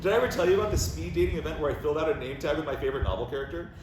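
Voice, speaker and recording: A man acting an anxious, needy, self-deprecating boyfriend in a halting way at a comic play table read. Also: a little high, animated, close to an everyday voice. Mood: chatty. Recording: roomy, distant, noisy recording.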